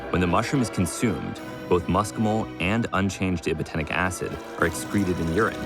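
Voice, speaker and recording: A man's voice: low-pitched, a little fast, music in the background. A man delivering scripted documentary narration.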